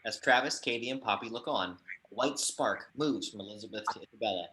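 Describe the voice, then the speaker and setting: A man's voice: lowish voice, brisk, sharp tone, a little hiss or hum. Man narrating screenplay stage directions. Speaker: narrator of action descriptions. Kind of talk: scripted screenplay narration, table read.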